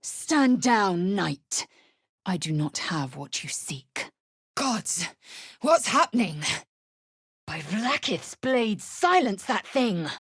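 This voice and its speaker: A woman playing a fierce, proud githyanki warrior in a video game, with a husky, raspy voice and a clipped, haughty, formal manner. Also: mid-range voice, sing-song, slow pace, dry recording, clean studio sound, exaggerated voice. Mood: commanding, alarmed. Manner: clipped, haughty, imperious, formal archaic phrasing.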